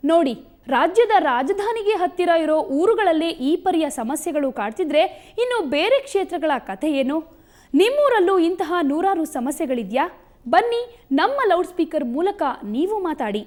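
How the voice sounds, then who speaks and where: A woman news presenter speaks in a high voice. Her delivery is scripted and addressed to viewers, with very lively pitch movement. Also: normal speed.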